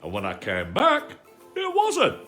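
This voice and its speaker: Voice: medium pitch, wildly swooping pitch, a little slow, a bit bright, soft background music, pitched above the performer's own voice. A storybook reader voicing a gloomy, self-pitying old male donkey with melancholy, sarcastic grumbling. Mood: gloomy, resigned.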